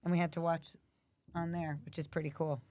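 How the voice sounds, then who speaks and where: A woman with a raspy, allergy-hoarse voice chatting casually while watching hockey at home. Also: deep voice, little pitch movement, brisk, darkish tone. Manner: chatty, asks many questions. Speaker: livestream host.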